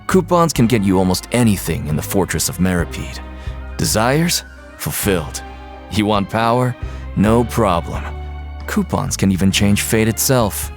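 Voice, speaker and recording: An actor playing a gruff, jaded, mercenary prison inmate in a video game, curt and world-weary in manner. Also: male voice, on the low side, expressive, normal speed. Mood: grudging, mercenary. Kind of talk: video game character acting. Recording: background music.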